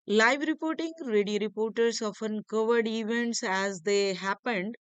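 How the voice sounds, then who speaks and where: A woman with a clear, smooth voice reads out a lesson at a very unhurried pace. Her pitch sits a little low. She delivers it like a slide lecture, haltingly, tripping over the odd phrase. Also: no room sound. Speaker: lecturer presenting a history lesson. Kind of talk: slide-by-slide educational lecture, read from notes.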